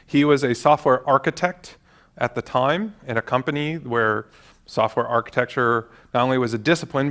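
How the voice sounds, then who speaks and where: Calm, measured man giving a tech talk. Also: mid-range voice. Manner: calm, measured, with frequent fillers. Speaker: conference speaker, VP of engineering. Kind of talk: technical conference talk. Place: conference stage before an audience.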